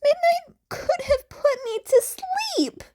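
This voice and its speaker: Teenage boy, guilt-ridden and panicky, very high halting voice, animated delivery, played by a woman. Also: heavily acted. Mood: defensive. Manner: halting, stammering, voice breaking. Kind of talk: acted fan fiction audiobook dialogue.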